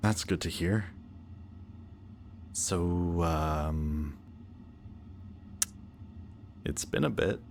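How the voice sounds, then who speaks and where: A man acting a possessive, anxious antihero and devoted best friend in an emotional, halting audio roleplay addressed to the listener. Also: low voice, vocal fry, a little hiss or hum, full character voice. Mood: tender, nervous. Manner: halting, hesitant, earnest.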